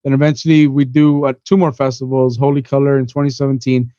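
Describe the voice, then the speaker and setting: Smooth-voiced young man telling stories casually. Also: mid-range voice, little pitch movement, quick pace, warm tone, no room sound, lo-fi sound. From Miami, USA. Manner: rambling, peppered with "you know" and "bro". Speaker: podcast guest, event organizer. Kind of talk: casual storytelling chat.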